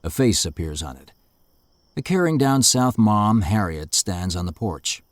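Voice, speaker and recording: A man reading scene directions in a steady, matter-of-fact way. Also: fairly low pitch, a little slow, no echo, clean studio sound. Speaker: narrator. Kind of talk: scripted narration of stage directions.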